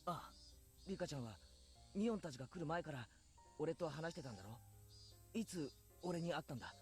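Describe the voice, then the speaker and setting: A woman's voice: sits low, animated, rapid-fire, a little mellow, faint music behind. A woman voicing an intense, anxious teenage boy, the protagonist of a Japanese visual novel. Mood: pressing, uneasy.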